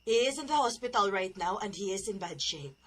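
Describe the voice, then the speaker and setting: A woman's voice: mid-range voice, expressive, normal speed, fairly bright tone. A woman playing a serious, concerned teacher addressing a class in a radio drama, mixing English and Cebuano with a Cebuano Filipino accent. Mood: grave, concerned. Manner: switches between English and Cebuano, announcing.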